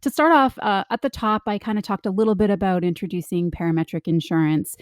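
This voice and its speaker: A woman's voice: medium pitch, fast, dry recording, studio quality. A woman hosting a podcast interview, upbeat and enthusiastic as she introduces her guests. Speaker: podcast host.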